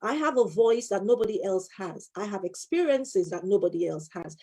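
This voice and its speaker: A woman's voice: mid-range voice, animated, moderate pace, dark tone. A woman in her fifties, a life coach and former solicitor, giving an earnest motivational talk and repeating her key phrases for emphasis.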